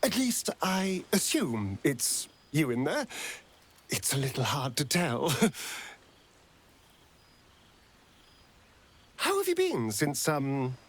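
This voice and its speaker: A man's voice: fairly high pitch, wildly swooping pitch, slow pace, full character voice. A man playing a flamboyant, sardonic vampire rogue in a video game, with an arch, drawling delivery and a tender streak. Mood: wry, teasing. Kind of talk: theatrical video game character acting.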